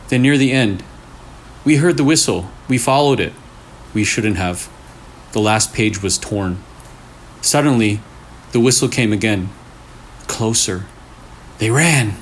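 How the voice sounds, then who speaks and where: A man reading a scary story aloud in short, deliberate phrases with pauses for suspense. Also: mid-range voice. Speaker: storyteller, channel host. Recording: clearly noisy room recording.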